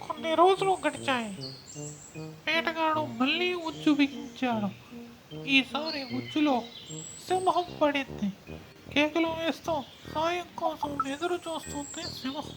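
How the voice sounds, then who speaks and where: High-pitched female storyteller. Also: unhurried, pauses often, over music. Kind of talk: children's story narration.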